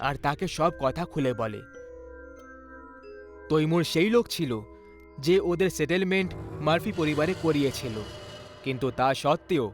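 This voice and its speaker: A man narrating a scripted horror story in a dramatic storytelling style. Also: a little high. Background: background music.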